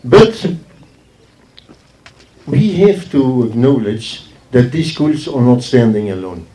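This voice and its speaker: Slow, mellow man speaking in an echoey space. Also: mid-range voice, sing-song. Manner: emphatic, repeats phrases for effect. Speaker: guest speaker from a forestry organisation. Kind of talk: informal public speech at a ceremony. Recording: echoey speech heard from far off.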